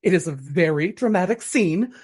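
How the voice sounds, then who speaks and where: Gushing, husky, melodramatic Regency actress. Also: female voice, fairly low pitch, lively intonation, normal speed, darkish tone. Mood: tragic, theatrical. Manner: theatrical, breathy earnestness, dramatic flourishes. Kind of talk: radio play acting.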